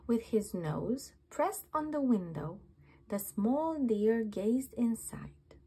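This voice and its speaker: A woman reading a rhyming picture book aloud at bedtime in a gentle, half-whispered, breathy way. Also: on the high side. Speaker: storybook reader. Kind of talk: bedtime story read-aloud.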